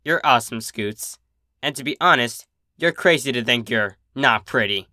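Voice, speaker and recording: A man's voice: mid-range voice, normal speed, bright, forceful tone, no echo, clean studio sound. A man voicing a cocky, protective female pegasus, a big sister with a brash, casual, reassuring manner. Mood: proud, warm.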